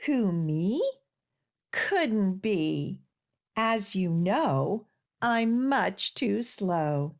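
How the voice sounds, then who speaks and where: A woman voicing a slow, innocent turtle from a children's storybook, drawing out the words. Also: medium pitch, very animated, exaggerated voice. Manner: drawn-out, unhurried.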